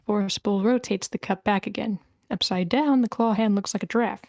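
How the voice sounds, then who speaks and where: A woman's voice: medium pitch, brisk, darkish tone, dry recording, studio quality. A woman reading screenplay scene directions aloud as the narrator of a table read.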